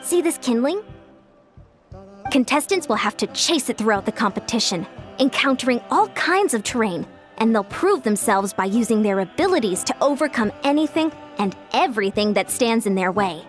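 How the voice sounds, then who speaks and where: A woman's voice: a little high, expressive, moderate pace, lightly acted. A woman playing an upbeat, confident young course designer in a video game, explaining things in a self-assured way. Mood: proud, explanatory. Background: music.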